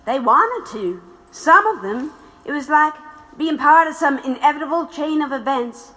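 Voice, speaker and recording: A woman's voice: sits high, expressive, normal speed, sharp tone, poor audio. A woman playing a tough, reflective widowed revolutionary in an audio drama. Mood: wry, resigned.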